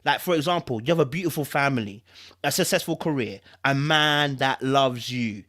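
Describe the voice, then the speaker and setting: A man's voice: mid-range voice, normal speed, pushed and bright, close to an everyday voice. A man voicing a controlling husband who feigns concern with stammering, insistent talk in a dramatic book reading. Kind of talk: dramatic audiobook-style reading.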